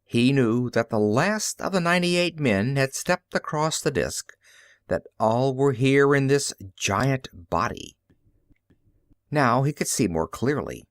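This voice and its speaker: A man's voice: medium pitch, expressive, takes it slowly, smooth, dry recording, clean studio sound. A man reading classic fiction aloud as an audiobook narrator, in a theatrical, emphatic, dramatic style.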